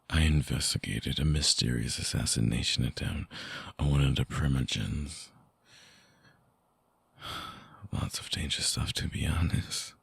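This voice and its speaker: A very deep-voiced male vampire, acted by a man, speaks slowly and tenderly to a lost lover. The voice is husky and breathy, often sinking toward a whisper, in an intimate, close-up ASMR roleplay. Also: no echo, full character voice. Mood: hushed, earnest. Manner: halting, tender, intensely earnest. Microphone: close binaural microphone.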